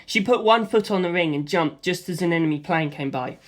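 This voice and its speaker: A man's voice: sits high, a little fast. A man narrating an action story. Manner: lively, with spoken gunfire sound effects. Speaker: audiobook reader. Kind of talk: first-person story narration.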